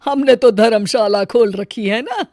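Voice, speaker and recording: A woman voicing a sly, scheming older mother-in-law in an animated story, with sarcastic muttered asides. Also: fairly high pitch, no echo, clean studio sound.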